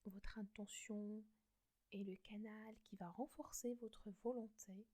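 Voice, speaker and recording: A woman in a soft breathy whisper, gently guiding a meditation close to the mic. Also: medium pitch, fairly even intonation, slow. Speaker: meditation guide.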